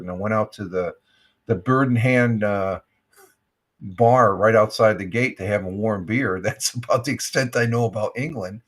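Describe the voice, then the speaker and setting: Gravelly older man rambling on a livestream. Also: fairly low pitch. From United States. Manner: rambling, many fillers and restarts. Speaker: livestream host. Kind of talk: casual livestream chat.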